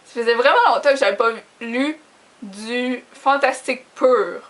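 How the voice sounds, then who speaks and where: High-voiced young Québécoise vlogger. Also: female voice, a little slow. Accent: Quebec French. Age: young adult. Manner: informal, giggly, self-deprecating. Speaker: book vlogger. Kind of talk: casual chat to camera.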